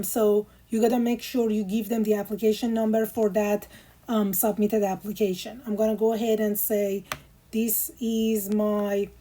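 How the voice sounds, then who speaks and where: Mellow-voiced woman walking through a form. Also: on the high side. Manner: patient step-by-step instructions with frequent fillers. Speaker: immigration tutorial host. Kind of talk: screen walkthrough tutorial.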